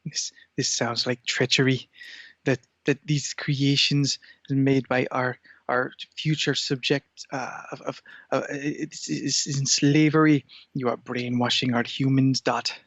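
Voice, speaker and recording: A man voicing an imperious, scheming cat who is demanding and menacingly deadpan in a comedy podcast. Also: mid-range voice, takes it slowly, dry recording, muffled recording. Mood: indignant. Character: imperious scheming male cat, rival of humans.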